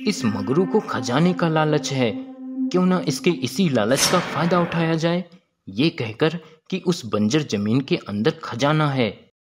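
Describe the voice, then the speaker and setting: A conniving servant, acted by a man, speaks deferentially to his master at a measured pace. He is crafty and flattering, a cartoon schemer with music behind his voice. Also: a little high. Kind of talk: Hindi moral-story cartoon dub.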